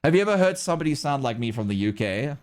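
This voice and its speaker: Chatty South African streamer. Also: male voice, medium pitch, sing-song, fast. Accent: South African English, mixed with Australian and American. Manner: rambling, chuckling often, talks back to chat. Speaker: streamer and artist. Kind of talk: casual livestream chat.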